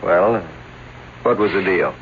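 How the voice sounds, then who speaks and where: An actor playing a stern police lieutenant with a gravelly voice, questioning pointedly in an old-time radio drama. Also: male voice, low voice, slow. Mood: incredulous. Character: stern, sardonic police lieutenant interrogating a man. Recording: muffled, hissy vintage radio recording.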